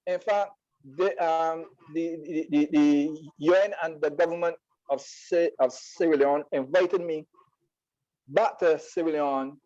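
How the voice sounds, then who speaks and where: A man with a Barbadian accent speaking as a guest poet in an interview, explaining in a halting way and often repeating words. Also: on the high side, fairly even intonation, mellow, lo-fi sound.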